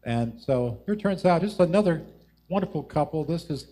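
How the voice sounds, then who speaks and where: A man's voice: a little high, animated, normal speed, dark tone. A man hosting a live show, casually introducing the performers. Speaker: show host.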